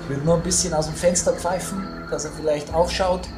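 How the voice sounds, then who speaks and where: A man's voice: on the high side, steady tone, a little fast, lightly acted. A man playing a chummy, trigger-happy trophy hunter in an amateur horror audio drama, tossing English slang into his talk. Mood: casual, plotting. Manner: slangy German sprinkled with English words. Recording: roomy sound with loud music.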